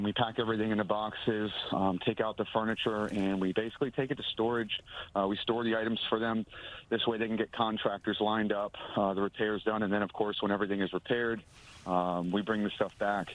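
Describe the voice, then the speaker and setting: A man, a moving company owner, speaking on a phone interview, halting with many ums and restarts. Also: fairly low pitch, steady tone. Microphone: phone line. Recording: phone line audio.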